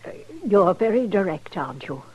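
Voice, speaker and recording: An imperious old society matron, speaks in formal, genteel phrases. Her husky voice swoops up and down with condescension. It sounds like vintage radio drama. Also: lowish voice, animated, moderate pace, muffled recording. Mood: haughty, insistent. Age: older.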